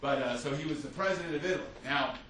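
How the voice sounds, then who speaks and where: A man's voice: on the high side, fast talker, noisy background. A man giving an unscripted history lecture as a teacher, telling it like a story, with many fillers and restarts. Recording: echoey room, mic at a distance.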